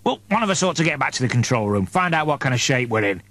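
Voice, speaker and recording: A man voicing a brisk, evasive, self-serving priest with a breezy, offhand manner in an audiobook. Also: mid-range voice, very animated, fairly bright tone, dry recording. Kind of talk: audiobook character voice.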